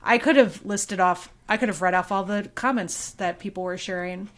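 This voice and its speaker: A woman's voice: mid-range voice, moderate pace. A woman speaking as a local reporter and podcast guest, informal and playful in casual, bantering chat.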